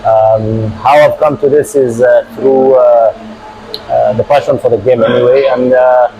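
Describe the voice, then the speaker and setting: A middle-aged man from Uganda, a football club director, answering interview questions with many hesitant filler pauses. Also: medium pitch, steady tone, dark tone, a little hiss or hum, low-quality recording.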